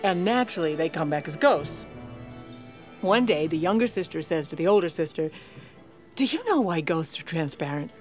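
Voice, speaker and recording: A self-assured woman in her forties, a First Lady with a lowish voice and a dry, haughty wit. She narrates an audio drama in a deadpan way, over faint music. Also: normal speed. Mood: wry, confiding. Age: 40s.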